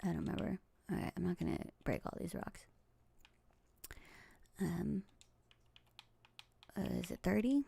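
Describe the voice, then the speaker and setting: A woman in her forties with a lowish, gravelly voice talks unhurriedly over a game she is playing. She rambles in a chatty way, with fillers, soft laughs and murmured asides to herself. She is from Los Angeles, and her first language is Tagalog. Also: measured pace, pauses often. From Los Angeles, United States. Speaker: game streamer. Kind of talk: casual gameplay commentary.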